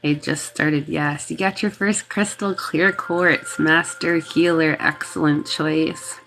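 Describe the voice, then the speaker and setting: Sniffly woman chatting slowly on a livestream. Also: low-pitched, steady tone, vocal fry, a bit bright, a little hiss or hum, poor audio. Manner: chatty, thanks viewers by name, laughs often. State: congested from a cold, sniffly. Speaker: reiki healer hosting a livestream. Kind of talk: casual chat greeting live viewers.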